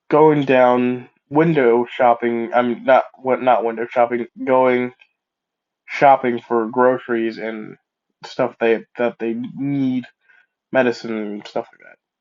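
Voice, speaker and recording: Slow, rambling man with a stuffy cold. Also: medium pitch. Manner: rambling, self-correcting, casual asides. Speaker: fan story narrator. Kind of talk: improvised fan-fiction storytelling. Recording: dull lo-fi mic.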